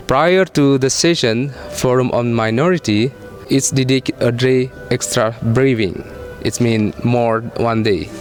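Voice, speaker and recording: A man speaking as an interviewer, asking questions in stiff, formal, halting English. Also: mid-range voice, soft background music. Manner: formal, halting non-native phrasing. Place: conference venue.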